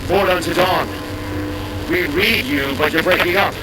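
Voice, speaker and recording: A man's voice: fairly high pitch, measured pace. An actor playing a businesslike male naval officer over a radio transmission in a video game. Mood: businesslike, urgent. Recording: very noisy, broken radio link.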